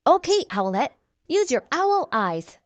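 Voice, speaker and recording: A woman's voice: high voice, sing-song, measured pace, dry recording. A woman voicing a cheeky, confident young boy superhero who leads his team in a storybook, breezy and insistent.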